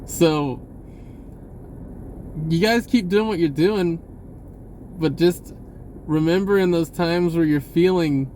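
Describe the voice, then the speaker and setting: A man with a fairly high-pitched voice delivers a casual, unscripted monologue. He speaks in short, broken phrases and often circles back on himself as he goes. Some background noise sits faintly behind his voice. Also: slow pace, long pauses, darkish tone, poor audio. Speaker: vlogger giving a personal message.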